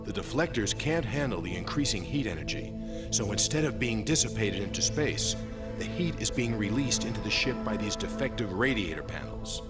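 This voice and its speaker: A man's voice: fairly low pitch, animated, normal speed, close to an everyday voice. A man playing a serious spaceship technician in an English anime dub, explaining with urgency. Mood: urgent, grave. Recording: loud music behind the dialogue.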